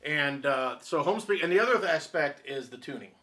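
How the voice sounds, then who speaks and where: A man's voice: fairly high pitch, animated, normal speed, fairly bright tone. A man explaining technical matters in conversation as a co-host, emphatic and repeating his key points. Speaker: audio reviewer and speaker designer, co-host.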